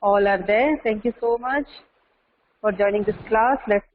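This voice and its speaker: A woman's voice: medium pitch, unhurried. A woman teacher with an Indian English accent, leading an online class and giving instructions, often checking in with "okay". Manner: instructive, repeats okay and listen. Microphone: video call. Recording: muffled online call with faint noise.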